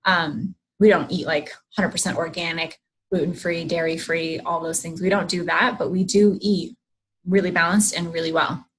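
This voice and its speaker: A woman's voice: on the low side, steady tone, moderate pace, slight background noise, poor audio. A woman hosting a casual livestream, chatty, greeting viewers, and losing her voice. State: losing her voice, clearing her throat. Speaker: host of a livestream.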